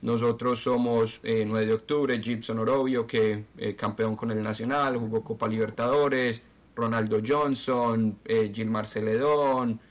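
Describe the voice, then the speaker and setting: A Colombian man answering interview questions as a football club president, speaking haltingly and repeating words as he searches for them. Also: mid-range voice, fairly even intonation.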